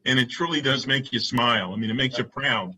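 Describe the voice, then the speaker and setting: A man's voice: mid-range voice, a little fast, bright, forceful tone, a little hiss or hum. A man chairing a committee, making formal, emphatic remarks in a council meeting over a video call. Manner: emphatic, appreciative.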